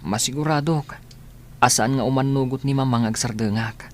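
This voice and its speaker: A man acting as a gentle, sickly schoolboy in a radio drama, quietly determined. His mid-pitched voice sounds over faint room ambience. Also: fairly quick, natural voice. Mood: earnest. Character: gentle, determined ailing schoolboy, devoted son. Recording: faint background ambience and noise.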